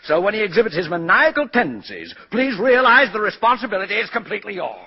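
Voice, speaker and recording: A pompous, irritable nervous official in 1940s radio style. He blusters and stammers in a husky, lively voice. The sound is a muffled vintage broadcast. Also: sits high, quick pace, exaggerated voice. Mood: indignant. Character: nervous, pompous, irritable male store examiner.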